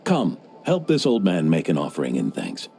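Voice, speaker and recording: A man's voice: a bass voice, huge pitch swings, moderate pace, vocal fry, mellow, slight background noise, exaggerated voice. An actor playing a pious, boastful old innkeeper in a video game, telling his story with animation. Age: older.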